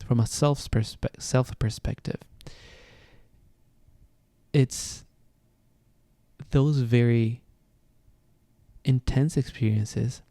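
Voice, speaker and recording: A man's voice: mid-range voice, warm tone, dry recording. A man with a Venezuelan Spanish accent, a native Spanish speaker hosting a podcast and talking reflectively, often searching for words. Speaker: podcast host. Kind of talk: casual solo podcast talk on astrology.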